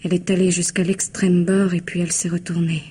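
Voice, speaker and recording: A woman's voice: fairly low pitch, level tone, a little fast, warm tone, breathy edge. An actress playing a passionate, defiant woman in love, wounded and stubborn, in a French radio play. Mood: haunted, confiding. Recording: muffled, noisy old radio drama recording.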